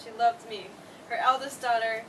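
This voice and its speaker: A woman's voice: fairly high pitch, fairly even intonation, normal speed, natural voice. A woman playing an emotional, guilt-ridden eldest daughter in a staged play reading. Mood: grieving, guilty. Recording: distant mic, noisy room.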